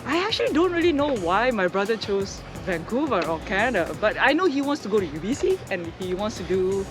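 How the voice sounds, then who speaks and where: A woman's voice: mid-range voice, sing-song, brisk, over music. Woman from Indonesia, hesitant talk. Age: young adult. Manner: hesitant, with many fillers and restarts. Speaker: interview guest. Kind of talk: casual conversational interview.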